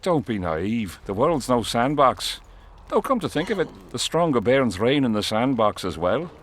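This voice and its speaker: Casting: loyal kingdom soldier, fantasy game, plain-spoken and careful, animated pitch. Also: male voice, a little hiss or hum. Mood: firm, guarded. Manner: measured, folksy, guarded. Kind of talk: video game dialogue acting.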